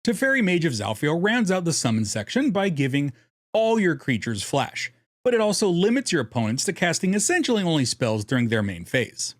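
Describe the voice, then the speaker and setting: A man's voice: fairly high pitch, big pitch swings, a little fast, dry recording, clean studio sound. A man narrating a scripted explainer as host, with a casual, self-deprecating, jokey way of talking. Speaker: host of a card game deck guide channel.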